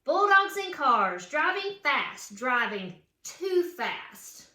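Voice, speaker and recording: A woman's voice: sits high, very animated, takes it slowly, sharp tone. A woman reads a children's picture book aloud with chatty asides, putting questions and emphatic cheers to young listeners. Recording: roomy, echoing room sound.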